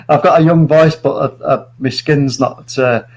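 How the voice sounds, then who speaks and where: A man's voice: fairly high pitch, fairly even intonation, a little slow, darkish tone. A man in his late forties, a guest on a live stream, giving his views earnestly and rambling through many restarts. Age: 40s. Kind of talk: opinionated live chat.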